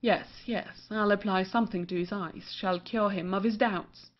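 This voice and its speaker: A woman's voice: mid-range voice, fairly even intonation, moderate pace, natural voice. A woman playing a brazen, cunning mistress in an old stage comedy, saucy and theatrical. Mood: sly. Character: brazen, cunning young mistress called Wanton. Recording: muffled lo-fi audio.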